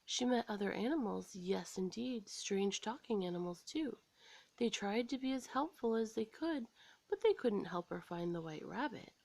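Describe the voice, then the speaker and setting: Animated American woman reading a children's story. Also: mid-range voice, normal speed, vocal fry, dry recording. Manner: expressive, dramatic. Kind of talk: scripted children's story read-aloud.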